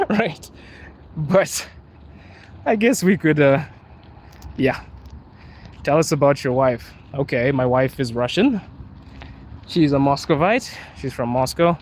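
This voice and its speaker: A man from Zimbabwe chatting casually on a livestream, answering viewers' questions in a relaxed way. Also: medium pitch. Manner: relaxed, chatty, says bro and dude. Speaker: vlogger answering live chat. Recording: street noise with passing cars.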